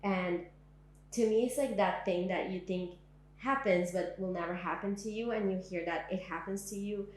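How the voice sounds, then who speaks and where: A woman's voice: medium pitch, normal speed, echoey room. A young woman from Syria in a living room, recalling her past in an oral history interview, speaking reflectively with many "like"s and "um"s. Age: young adult. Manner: reflective, frequent "like" and "um".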